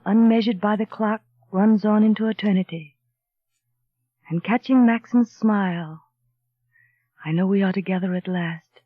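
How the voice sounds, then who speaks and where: An actress playing a gentle, anxious young wife in an old radio drama, earnest and wistful in her delivery. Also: mid-range voice, no echo, close to an everyday voice. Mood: tender, reflective. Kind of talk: 1930s radio drama narration and acting. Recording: muffled old radio broadcast, music behind.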